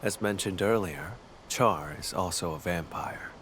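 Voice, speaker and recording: An actor voicing a brooding, determined male investigator in a video game, deliberate and grim in manner. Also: deep voice, a bit bright, slight background noise. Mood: pondering.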